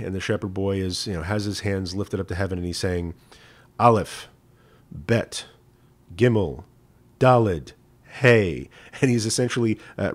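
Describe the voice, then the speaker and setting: A man's voice: sits low, darkish tone. A man, a rabbi, answering viewers' questions on a live stream in a conversational teaching manner, often checking in with "right?". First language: English. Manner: conversational teaching, frequent "right?" asides and restarts.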